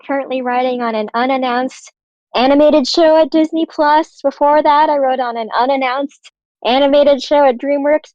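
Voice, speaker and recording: A woman with a high voice speaks casually as a panel guest. The sound is dry and a little dull, like a lo-fi microphone. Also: dry recording. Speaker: writer and actor, panel guest. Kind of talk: casual self-introduction.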